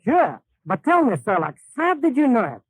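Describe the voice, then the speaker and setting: Very high, swooping comic sidekick. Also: male voice, wildly swooping pitch, normal speed, husky, no room sound, heavily acted. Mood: eager, admiring. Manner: exclamatory, put-on comic dialect. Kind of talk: 1930s radio dialect comedy.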